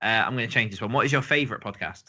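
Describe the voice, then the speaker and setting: A man's voice: lowish voice, very fast, bright, forceful tone, lo-fi sound. A man co-hosting a casual podcast, bantering in a jokey, informal way. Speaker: podcast co-host.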